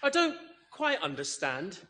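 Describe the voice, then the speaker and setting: A woman's voice: fairly low pitch, very animated, unhurried, exaggerated voice. A woman playing a grand, easily exasperated pantomime prince, declaiming melodramatically in a broad theatrical style. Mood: romantic. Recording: echoing theatre sound under loud music.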